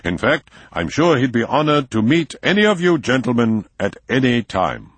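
A man's voice: on the low side, normal speed, breathy edge, no echo, voice pushed up, heavily acted. A man voicing a tipsy, enthusiastic young German student who speaks eagerly and expansively. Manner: eager, raised and animated.

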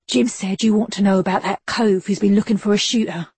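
A woman's voice: mid-range voice, steady tone, a little fast, darkish tone, no room sound. A woman voicing Billy the Bolter, a shifty male Victorian street informant with a slangy voice, in an audiobook. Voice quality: rough slangy street talk. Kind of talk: audiobook character voice.

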